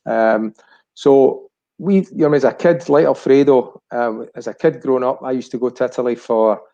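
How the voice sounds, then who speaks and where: A man's voice: mid-range voice, normal speed, mellow, muffled recording. A Scottish man of about sixty reminiscing casually as a chat show guest, rambling and searching for words. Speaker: chat show guest, family business director.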